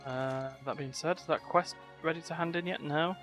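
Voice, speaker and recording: A man's voice: on the high side, steady tone, normal speed, fairly bright tone. A man streaming a game, giving casual commentary full of muttered asides and wry exclamations. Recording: game music behind.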